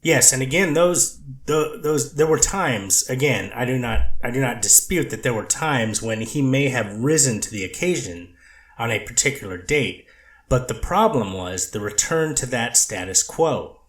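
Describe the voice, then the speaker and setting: A man with a medium-pitched voice speaking as presenter, introducing a courtroom reading. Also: animated, normal speed, fairly bright tone.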